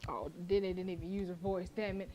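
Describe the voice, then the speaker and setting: A woman's voice: lowish voice, fairly even intonation, fairly quick, mellow, highs cut off. A woman streamer who reads a horror visual novel aloud in a dramatic way and breaks off for profane, joking asides.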